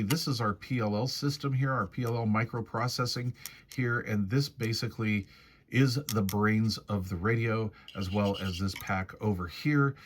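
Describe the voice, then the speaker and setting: A man giving a casual, hands-on product review walkthrough. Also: medium pitch.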